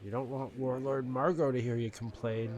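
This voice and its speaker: A man's voice: mid-range voice, level tone, normal speed, crowd noise, natural voice. A man playing a helpful, wary stagehand and wry coworker in an audio drama. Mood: wry caution.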